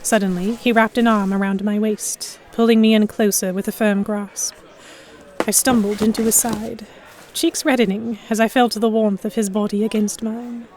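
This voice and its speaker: A woman's voice: medium pitch, steady tone, normal speed, darkish tone, a little hiss or hum. A woman reading scripted first-person narration for an audio drama.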